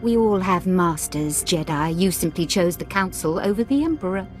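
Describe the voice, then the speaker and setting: An actress playing a zealous, scornful Sith officer in a video game, with haughty, grandiose menace. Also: female voice, fairly low pitch, animated, moderate pace, a little mellow, soft background music, lightly acted. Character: zealous Sith woman officer, scornful, devoted to her master. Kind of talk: dramatic video game villain acting.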